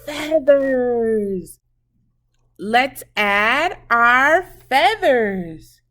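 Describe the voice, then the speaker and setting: A woman preschool teacher leading a children's craft lesson in an animated, sing-song way, asking questions and answering them herself. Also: on the high side, very slow.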